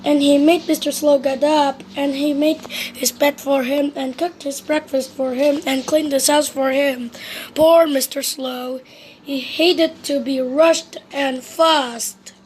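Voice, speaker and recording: A woman reading a children's storybook aloud, with occasional halting slips. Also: sits high, steady tone, darkish tone, slight background noise, poor audio. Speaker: storybook reader.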